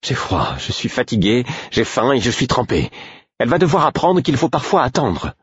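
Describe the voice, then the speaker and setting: A man's voice: low-pitched, big pitch swings, fairly quick, breathy edge, lo-fi sound, exaggerated voice. A man voicing an exhausted, exasperated young mother in an audiobook, snapping and complaining with angry defiance. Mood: weary, irritated. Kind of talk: audiobook character acting.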